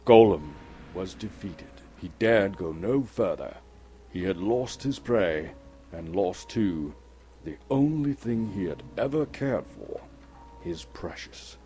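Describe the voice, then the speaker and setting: A man giving a dramatic, suspenseful reading of a story, theatrical and full of deliberate pauses. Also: sits low, lively intonation, mellow. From Canada. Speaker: storyteller, actor reading an audiobook chapter. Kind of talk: dramatic scripted narration. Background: music under the voice.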